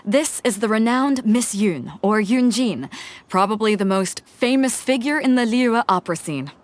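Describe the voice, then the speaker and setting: A woman's voice: a little high, expressive, moderate pace, no echo, natural voice. A woman doing a breezy, hearty sea captain. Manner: breezy, friendly, laughs easily. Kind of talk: game voice acting.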